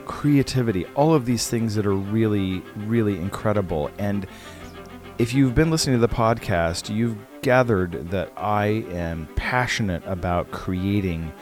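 Low-voiced man hosting a podcast. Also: darkish tone. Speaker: podcast host and conductor. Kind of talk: warm conversational interview. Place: backstage dressing room.

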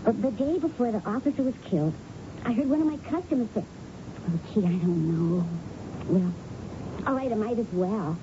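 An actress playing a nervous, doubtful waitress in a 1970s radio drama, speaking hesitantly and second-guessing herself. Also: female voice, medium pitch, expressive, moderate pace, lightly acted. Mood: agitated, hesitant. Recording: dull, noisy old radio broadcast.

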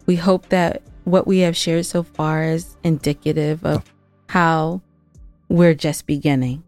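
A woman's voice: low-pitched, steady tone, unhurried, darkish tone, faint music behind. A woman co-hosting a podcast, talking casually and earnestly to listeners with an emphatic, playful streak. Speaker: podcast co-host and producer.

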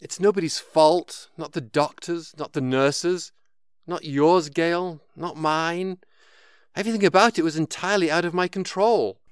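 A man's voice: on the high side, unhurried, clear, resonant tone, no room sound, clean studio sound, close to an everyday voice. Man acting as a weary, wistful elderly widower. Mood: grieving, anguished. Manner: reflective, wistful, poetic. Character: grieving elderly widower looking back on his life, weary. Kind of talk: audio drama acting with monologue.

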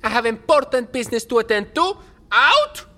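Haughty self-made president, sneering theatrical delivery, very lively pitch, sharp edge. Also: male voice, moderate pace, full character voice. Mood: imperious anger. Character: haughty, mocking self-proclaimed male revolutionary president. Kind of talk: audio drama acting.

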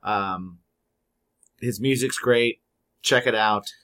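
A man co-hosting a podcast, wrapping up the episode in a relaxed, chatty way. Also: on the low side, slow pace. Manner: easygoing, agreeable, chatty. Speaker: podcast co-host. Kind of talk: casual podcast chat.

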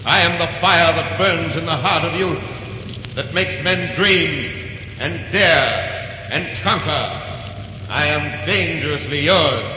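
Un hombre que pone voz a la Aventura, un presentador declamatorio y majestuoso de un radioteatro de los años cuarenta, con mucho eco de sala y ruido. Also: male voice, a little high, takes it slowly, muffled recording. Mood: grand. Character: grand male voice of Adventure, commanding host. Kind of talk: 1940s radio announcing. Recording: echoey hall on old noisy radio.

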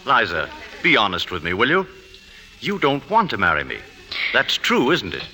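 Earnest suitor, lively intonation. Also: male voice, medium pitch, moderate pace, exaggerated voice. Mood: hurt, insistent. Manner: earnest, dramatic, insistent. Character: earnest, wounded, insistent male suitor. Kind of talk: 1950s radio drama acting.

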